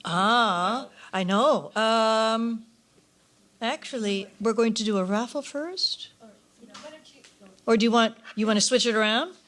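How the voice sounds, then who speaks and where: A woman's voice: fairly high pitch, takes it slowly, long pauses, fairly bright tone. A woman hosting a ceremony in a casual, unscripted style. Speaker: ceremony host.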